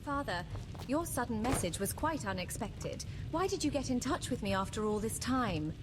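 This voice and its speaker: A woman's voice: a little high, sing-song, normal speed, noisy background. A woman playing a guarded, emotionally wounded adventuring daughter in a fantasy game, formal and earnest in manner. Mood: guarded, questioning.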